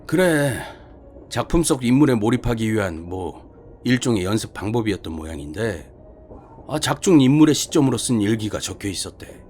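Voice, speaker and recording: Man acting as a gruff, blunt senior detective. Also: fairly low pitch, sing-song, normal speed, darkish tone, slight background noise. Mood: gossipy, animated. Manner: colloquial, emphatic, scolding storyteller. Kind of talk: dramatized horror short story reading.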